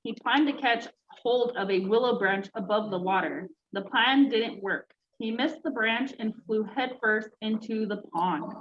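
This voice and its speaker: High-voiced woman reading stories, muffled noisy recording. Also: measured pace, few pauses. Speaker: storytime reader for children. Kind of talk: read-aloud children's story, then casual chat with children. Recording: dull lo-fi sound, clear background noise.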